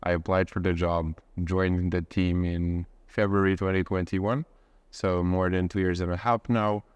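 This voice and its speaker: Low-voiced young man, halting speech. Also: flat delivery, smooth, no echo. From Romania. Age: 20s. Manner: repeats words and restarts often. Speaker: guest. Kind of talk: casual interview.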